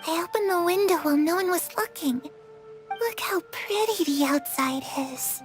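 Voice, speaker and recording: A lonely young girl in a game, her voice high and soft, hushed and wistful. Faint game music plays behind her. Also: lively intonation, normal speed. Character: lonely, sad young girl confiding secrets. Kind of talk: game cutscene voice acting.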